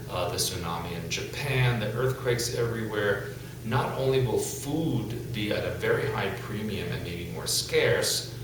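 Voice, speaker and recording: A middle-aged man from the United States giving news commentary in a measured, deliberate, emphatic way. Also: fairly low pitch. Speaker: video host, commentator. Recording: echoey, distant mic with loud hum.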